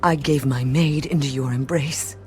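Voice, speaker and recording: Earnest, wronged wife for scripture drama; deep female voice, soft music behind. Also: fairly even intonation, normal speed. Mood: aggrieved. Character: Sarai, aggrieved childless wife.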